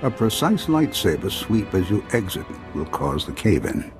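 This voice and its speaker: Deep, expressive Jedi master. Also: male voice, music in the background. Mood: serene, resolute. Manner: measured, philosophical, formal. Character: serene, aloof Jedi master, transcendent and proud. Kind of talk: video game voice acting.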